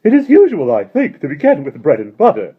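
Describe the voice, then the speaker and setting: A man voicing a jolly, warm-hearted old gentleman who exclaims breathlessly with mirth. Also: fairly high pitch, huge pitch swings, fairly quick, slightly rough, voice pushed up, full character voice. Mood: cheerful. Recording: dull lo-fi recording.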